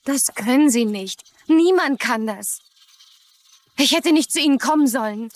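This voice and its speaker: Eine Schauspielerin spielt in einem synchronisierten TV-Drama eine junge, verschlossene und ängstliche Tochter, die angespannt und abwehrend spricht. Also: female voice, high-pitched, animated, measured pace, no echo. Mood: anguished, firm. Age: young adult. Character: anxious, guarded young daughter hiding a secret. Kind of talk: dubbed TV drama dialogue in German.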